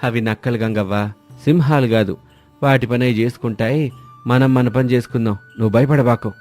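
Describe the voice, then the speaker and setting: An actor playing a kind, stubborn village man who lives alone, speaking gently and reassuringly. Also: mid-range voice, fairly even intonation, moderate pace, soft background music, natural voice.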